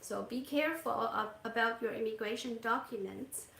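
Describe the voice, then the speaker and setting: A soft-spoken woman who works as an immigration consultant, explaining steps gently with hesitant fillers. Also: on the high side, normal speed, creaky, roomy sound, a little hiss or hum. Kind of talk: explaining to a live audience. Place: Canada.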